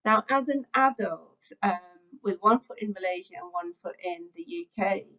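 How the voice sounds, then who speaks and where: A woman's voice: medium pitch, normal speed, pushed and bright, muffled recording. A middle-aged woman, giving an online lecture to teachers, reflective and conversational with frequent filler sounds. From England. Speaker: teacher-training speaker. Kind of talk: webinar lecture.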